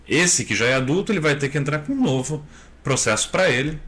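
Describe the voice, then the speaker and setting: A Brazilian man in his thirties, a lawyer answering interview questions in a measured, step-by-step way with frequent tag questions. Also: a little high, animated, fairly bright tone. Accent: Brazilian Portuguese.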